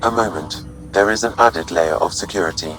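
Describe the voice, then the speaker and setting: An actor playing a calm, dutiful artificial intelligence in a video game, precise, formal and composed. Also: male voice, low-pitched, normal speed, slight background noise, rough recording. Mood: calm, informative. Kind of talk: video game dramatic acting.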